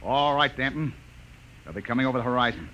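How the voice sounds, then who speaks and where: Spaceship captain for radio serial: mid-pitched, authoritative, questioning, dull old recording. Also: male voice, expressive, quick pace, pushed and bright, a little hiss or hum. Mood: firm, urgent. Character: skeptical, commanding male spaceship captain. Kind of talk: old-time radio drama acting.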